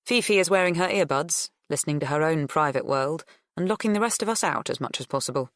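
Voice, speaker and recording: Low-voiced woman reading a novel. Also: fast talker, fairly bright tone, no room sound, studio quality. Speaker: audiobook narrator. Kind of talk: scripted first-person fiction narration.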